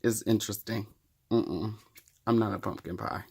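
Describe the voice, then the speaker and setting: A man's voice: on the low side, steady tone, moderate pace, vocal fry, a little mellow, husky. A man in his thirties chatting casually to the camera in a wry, profane, chatty way. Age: 30s. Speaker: vlogger.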